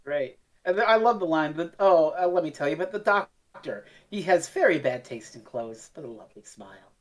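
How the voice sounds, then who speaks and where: Low-pitched woman chatting bluntly. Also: expressive, fairly quick, a little mellow. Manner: blunt and sweary. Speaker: podcast co-host. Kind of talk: casual review chat.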